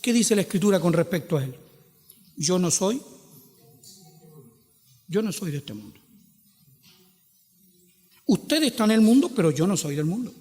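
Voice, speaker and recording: A man's voice: high-pitched, sing-song, a little slow, pauses often, a little mellow, long reverb tail, faint music behind. A Chilean man preaching a sermon, posing rhetorical questions and stretching out quoted scripture with emphasis. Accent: Chilean Spanish. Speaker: pastor.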